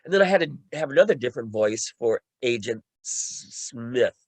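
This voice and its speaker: A man's voice: mid-range voice, animated, moderate pace, dry recording. A man from the United States with a light tenor voice, chatting casually in a panel discussion about acting. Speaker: voice actor in the radio play.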